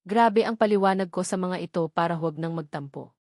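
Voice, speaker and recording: A woman's voice: on the low side, a little fast, darkish tone, no echo, clean studio sound. A woman with a Filipino accent reading a scripted first-person romance story as an audiobook narrator.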